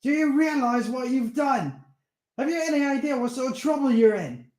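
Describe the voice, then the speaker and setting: A man voicing an excitable, hot-tempered schoolboy who yells in a high, shrill voice. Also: measured pace. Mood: furious. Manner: yelling insults, high-pitched and shrill. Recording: roomy echo.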